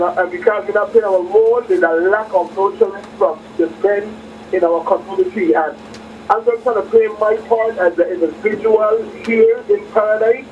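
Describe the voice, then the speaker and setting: A man with a high voice chats casually as a caller to a radio show. The sound is dull and lo-fi, coming from a distance with informal, everyday phrasing. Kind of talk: casual, informal call-in talk. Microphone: phone call into studio. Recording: muffled phone call, distant, faint noise.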